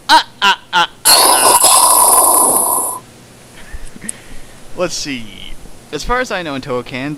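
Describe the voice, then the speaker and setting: Lively, bright-voiced man reading mockingly. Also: fairly high pitch, a little hiss or hum, poor audio. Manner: sarcastic, breaks into sudden sing-song and loud asides. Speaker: streamer reading and riffing a fan fic. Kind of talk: comedic dramatic reading with riffing.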